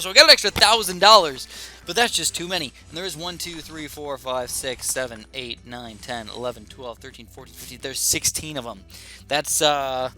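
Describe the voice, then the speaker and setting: A young man with a slightly raspy, sore throat, chatting excitedly while thinking aloud through commentary on his own gameplay. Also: fairly high pitch. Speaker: gaming YouTuber.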